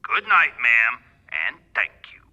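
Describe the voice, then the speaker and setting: An actor plays a city police sergeant in a radio thriller. His voice is hard-edged and very bright, with animated swings in pitch as he placates and brushes off the caller in a weary, condescending way. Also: slow, lo-fi sound, full character voice. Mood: dismissive. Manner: condescending, placating, matter-of-fact. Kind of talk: suspense radio play acting.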